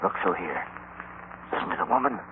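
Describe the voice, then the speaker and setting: Dim American outlaw's henchman for a radio western: low, unschooled, buried in loud static. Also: sing-song, moderate pace. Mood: wary. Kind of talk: old-time radio western acting. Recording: very noisy, muffled old radio recording.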